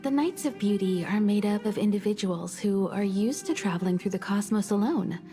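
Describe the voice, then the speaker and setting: Wise, calm female mentor, acted by a woman, warm dark tone, measured and philosophical, game dialogue. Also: mid-range voice, natural voice. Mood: solemn. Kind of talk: video game character dialogue. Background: faint game music.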